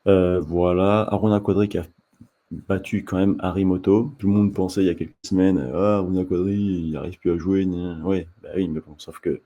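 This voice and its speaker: A man with a deep, husky voice talks casually to the camera as a channel host. His tone is dark and mellow, and he often stops and restarts his sentences as he addresses the viewer directly. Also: flat delivery. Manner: halting, many restarts, talks straight to the viewer. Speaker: table tennis coach and channel host.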